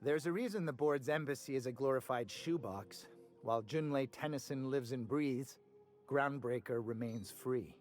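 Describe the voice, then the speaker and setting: Actor as a folksy, opinionated space-station trader. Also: male voice, medium pitch, normal speed, a little mellow, soft background music, close to an everyday voice. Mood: wry, confiding. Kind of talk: video game dialogue.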